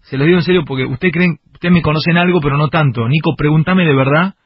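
Fiery Argentine radio host, husky. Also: male voice, on the high side, fast, no room sound. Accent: Argentine Rioplatense. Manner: fiery, rambling, theatrical. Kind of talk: radio banter. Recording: dull muffled radio broadcast.

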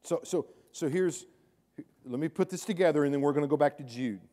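A middle-aged pastor preaching in a large church sanctuary. His voice sits fairly high for a man. He talks to the congregation in a chatty way, then swells louder to drive a point home, often doubling his words. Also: a little fast. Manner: conversational preaching with sudden rises in volume and repeated words. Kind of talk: sermon.

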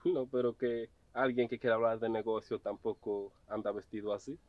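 A man's voice: lowish voice, steady tone, normal speed, no room sound, phone-quality sound, close to an everyday voice. Man playing a teasing young gatekeeper. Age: young adult. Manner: teasing, chuckling. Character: young male gatekeeper servant, mocking but obedient. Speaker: actor. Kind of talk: web drama acting. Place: outdoors at a farm gate.

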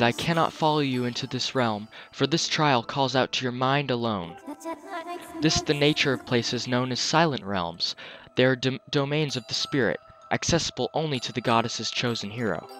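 A man's voice: medium pitch, moderate pace, a bit bright. A man on a headset commenting on a video game, reading on-screen dialogue aloud with stumbles and jokes. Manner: joking, stumbles and restarts while reading. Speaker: let's play commentator. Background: game music.

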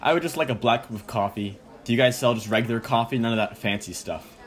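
Grumpy older man played by an actor, curt and condescending, quick delivery, comic radio play. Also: mid-range voice, natural voice. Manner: gruff, condescending.

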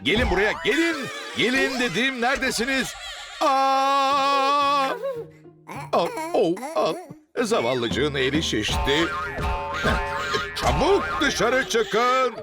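Storyteller for a kids' cartoon, slow and unhurried, voice swooping up and down, clear music playing behind. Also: medium pitch, big pitch swings. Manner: exclaiming, jumping into the characters' lines. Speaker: cartoon narrator. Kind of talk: children's cartoon narration.

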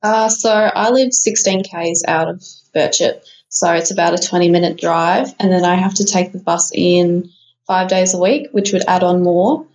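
Matter-of-fact Australian teenage girl, lowish voice. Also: moderate pace, darkish tone, low-quality recording. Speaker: student guest. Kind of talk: casual chat. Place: small storeroom office, indoors.

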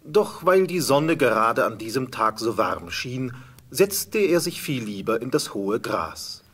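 A man narrates a fairy tale in scripted storytelling style. His voice is husky and medium in pitch, with lively, wide intonation. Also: unhurried.

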